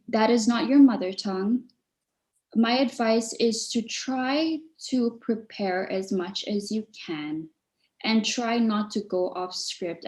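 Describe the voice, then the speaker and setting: A Malaysian woman speaks over a video call in a middle-pitched voice, reassuring and steady. She keeps checking in with her listener as she answers questions in an online webinar.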